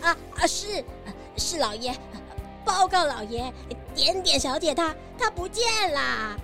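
A woman voicing an anxious, stammering nanny in a children's audio drama, with soft music underneath. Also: high-pitched, sing-song, unhurried, heavily acted. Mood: flustered. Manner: flustered, stammering.

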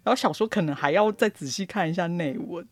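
A woman's voice: fairly low pitch, fast talker, dry recording. A woman with a Taiwanese Mandarin accent chatting casually as a podcast guest, self-deprecating and jokey, repeating words for emphasis. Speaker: guest, self-publishing writer.

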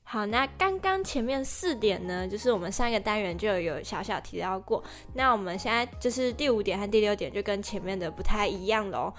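A woman's voice: mid-range voice, lively intonation, fairly quick, few pauses, faint music behind. A young woman with a Taiwanese Mandarin accent, co-hosting a podcast and chatting casually through prepared points. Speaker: podcast co-host.

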